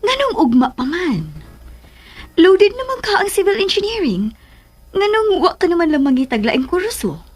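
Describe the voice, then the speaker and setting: A woman acting a concerned, gently teasing mother in a Cebuano radio drama, questioning in a dry way. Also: very high voice, very animated, normal speed, soft background music.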